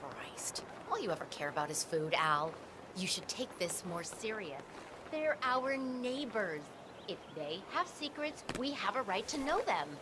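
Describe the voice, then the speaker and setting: A woman's voice: mid-range voice, big pitch swings, unhurried, noisy background. A woman playing a nosy, suspicious suburban wife in a video game, gossipy and nagging in conversational dialogue. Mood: exasperated, insistent.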